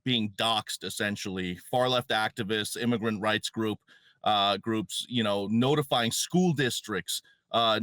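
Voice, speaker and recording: A man's voice: medium pitch, a bit bright, dry recording. An American man giving conversational political commentary as a panel guest.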